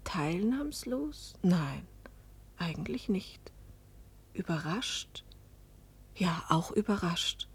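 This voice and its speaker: An actress playing a bewildered, introspective woman of forty-one, narrating and speaking in a German radio play with a hushed, breathy, searching delivery. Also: fairly low pitch, sing-song, extremely slow, long pauses, full character voice. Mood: hushed, pensive.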